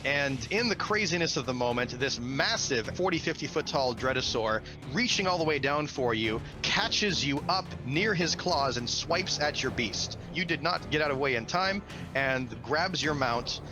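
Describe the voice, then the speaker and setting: Man narrating a fantasy game over loud music. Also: fairly high pitch, lively intonation, moderate pace. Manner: dramatic storytelling with vocal sound effects. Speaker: game master.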